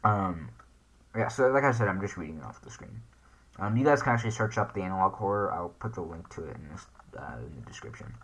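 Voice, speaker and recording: A man's voice: sits low, big pitch swings, fast talker, a bit bright, slightly rough. A man hosting a casual reaction video, hesitantly thinking aloud as he comments and reads text off the screen. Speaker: YouTube reaction host.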